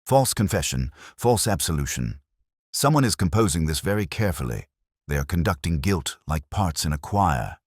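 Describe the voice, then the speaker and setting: A man plays a shrewd inspector in an audiobook mystery. He is brooding and sceptical, speaking in a very deep, measured voice that still has lively intonation. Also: breathy edge, no echo, clean studio sound, heavily acted. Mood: brooding deduction. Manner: measured, probing.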